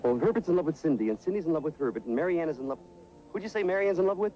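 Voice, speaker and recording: An actor playing a naive, good-hearted, bumbling sidekick in a TV sitcom, guileless and puzzled, taking everything literally. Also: male voice, fairly high pitch, animated, rapid-fire, highs cut off, close to an everyday voice. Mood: confused. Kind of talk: broad TV sitcom acting.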